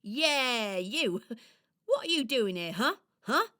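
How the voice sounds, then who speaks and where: Plucky, blustering garden robin, acted by a woman for children's radio drama: bright, loud, deliberate and brash, with a kind heart. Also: on the high side, sing-song, heavily acted. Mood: cheeky, challenging. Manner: brash, bossy, punchy.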